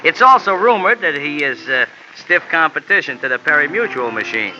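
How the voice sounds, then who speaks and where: A scrappy little jockey rattling off slangy racetrack talk. His voice is bright and springy, leaping around in pitch. It comes through a muffled old radio recording with music playing underneath. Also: big pitch swings, slight background noise, exaggerated voice. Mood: knowing. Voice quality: slangy fast-talking tough-guy patter. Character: small wiry man jockey, street-wise and loyal. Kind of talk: 1950s radio drama acting.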